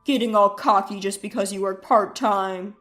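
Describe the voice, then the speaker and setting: A woman's voice: mid-range voice, fairly even intonation, moderate pace, fairly bright tone, faint music behind, pitched below the performer's own voice, natural voice. A woman voicing a boastful, defensive husband who is contemptuous of his wife, blustering through a dramatized family story. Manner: blustering, dismissive.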